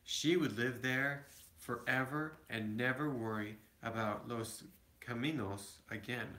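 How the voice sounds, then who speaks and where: A man reads a picture book aloud in an echoey room, in a mid-pitched voice. He stumbles slightly now and then and drops into casual explanations, like a father reading to his children at home. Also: bright, forceful tone. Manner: halting, with small stumbles and asides to children. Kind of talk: read-aloud storytelling with casual asides.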